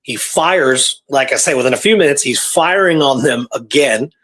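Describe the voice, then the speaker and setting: A man hosting a talk show, retelling a true story in a casual, animated way with repeated phrases and pauses for drama. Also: mid-range voice. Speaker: podcast host telling a true historical story.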